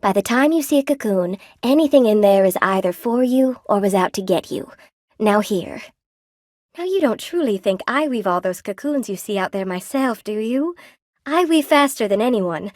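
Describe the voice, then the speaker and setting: A woman's voice: medium pitch, no echo, studio quality, natural voice. An actress voicing a friendly, wry spider weaver woman in a video game, chatty and coy, with a folksy, lightly theatrical delivery. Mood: playful, confiding. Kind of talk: video game character dialogue. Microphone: close dry studio microphone.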